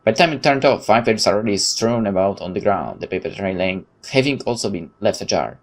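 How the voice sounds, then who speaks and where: A man's voice: on the low side, animated, a little fast. A man hosting a gaming video, reading visual novel text aloud with stumbles and exasperated, sweary asides.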